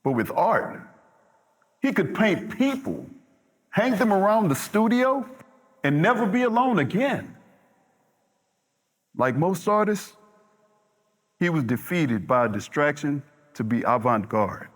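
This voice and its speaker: A man's voice: fairly high pitch, big pitch swings, slow pace, long pauses, heavily acted. An actor playing an earnest man who speaks emphatically and with passion in a theatrical stage monologue. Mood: impassioned, reflective. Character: impassioned man eulogizing a lonely, misunderstood artist.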